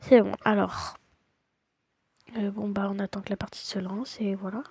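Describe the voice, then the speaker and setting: A woman's voice: mid-range voice, flat delivery, normal speed, husky, no room sound. A woman with a slight cold giving a casual, hesitant voice-over of her gameplay, full of fillers and restarts. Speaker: gaming channel host. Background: loud game music.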